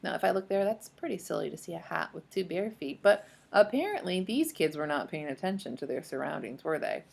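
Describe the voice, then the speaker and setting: A woman's voice: on the low side, lively intonation, brisk, vocal fry, few pauses, a little mellow, husky. A woman teacher leading a children's read-aloud lesson, explaining in an encouraging way and asking guiding questions. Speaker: speech-language teacher.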